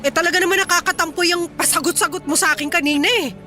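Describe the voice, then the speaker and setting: A woman's voice: extremely high pitch, steady tone, very fast, sharp tone. A woman playing a hardworking, touchy middle-aged Filipina mother in a Tagalog radio drama, with an emphatic, reproachful and melodramatic delivery and a Filipino accent. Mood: hurt, scolding. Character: hardworking, touchy Filipina mother running an eatery, easily hurt. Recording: music under the voice.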